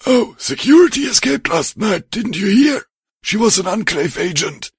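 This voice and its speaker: Cackling, raspy old pony. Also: male voice, high-pitched, big pitch swings, normal speed, no echo, clean studio sound, voice pushed up, full character voice. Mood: mocking. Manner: folksy, taunting. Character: cackling old male pony caravan leader, mischievous.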